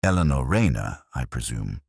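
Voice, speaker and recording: A man voicing a calm, cerebral Victorian detective with measured, formal speech and quiet authority in an audiobook story. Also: rumbling low, creaky, husky, no echo, clean studio sound, pitched below the performer's own voice, full character voice. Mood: solemn, gentle.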